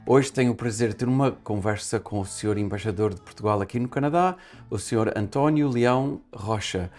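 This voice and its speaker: A man's voice: lowish voice, a little fast, a little mellow, faint music behind. A man hosting a community talk show, politely welcoming his guest and setting up the conversation with a few fillers. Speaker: podcast host, interviewer. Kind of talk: welcoming interview host.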